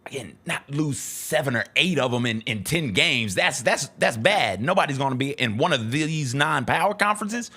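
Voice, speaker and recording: A man's voice: mid-range voice, animated, moderate pace, hardly any pauses, sharp tone, husky. A man giving casual, emphatic sports commentary as a talk show co-host, repeating points for stress.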